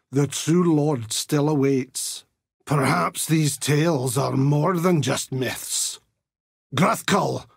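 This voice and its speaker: An actor playing a zealous, grim older Templar holy warrior in a video game, with a gravelly, raspy voice and solemn, righteous delivery. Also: male voice, on the high side, no room sound, clean studio sound, full character voice. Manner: solemn, pious, righteous oratory. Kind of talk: video game character voice lines.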